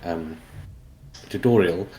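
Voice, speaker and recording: Male game developer, forties, South African accent, native Afrikaans, low-pitched, conversational, noise behind him. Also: takes it slowly, warm tone, rough recording. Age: 40s. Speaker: interview guest, indie game developer. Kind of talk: casual interview answers.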